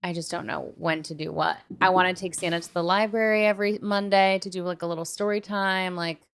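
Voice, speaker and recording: Female podcast co-host, pitched a little low, informal and slangy, thinking aloud in casual conversation. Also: steady tone, fairly quick, a little hiss or hum.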